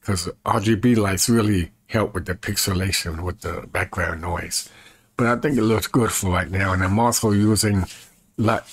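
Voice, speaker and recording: A man in his fifties with a husky voice, chatting casually to camera in a folksy way and repeating himself as he demonstrates. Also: low voice, normal speed, dry recording. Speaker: evangelist and video creator. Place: small bedroom, indoors.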